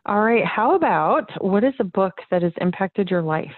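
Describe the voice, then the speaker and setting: A woman's voice: mid-range voice, lively intonation, moderate pace, no echo, phone-quality sound. A woman hosting a casual interview in an upbeat, affirming, informal manner. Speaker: podcast host, business coach.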